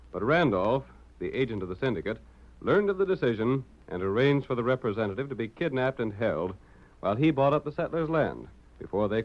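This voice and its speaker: Male announcer, 1940s dramatic narration, lively intonation, dull vintage radio recording. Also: mid-range voice.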